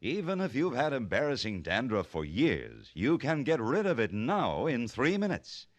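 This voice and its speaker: A man's voice: mid-range voice, huge pitch swings, normal speed. A man with an American accent reading scripted copy as a 1950s radio announcer.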